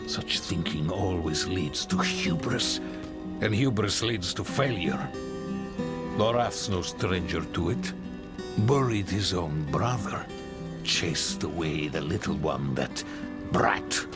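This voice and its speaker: A man playing a grim, contemptuous zealot in a fantasy game, speaking with measured disdain and menace. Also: low voice, full character voice. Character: grim, contemptuous male zealot issuing cold threats. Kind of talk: dramatic fantasy game acting. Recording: loud music behind the voice.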